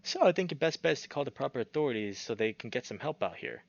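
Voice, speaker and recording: A man's voice: mid-range voice, big pitch swings, fast, vocal fry, dry recording, muffled recording. A man voicing a friendly, folksy old male bear in a visual novel, with offhand country phrasing. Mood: folksy, easygoing.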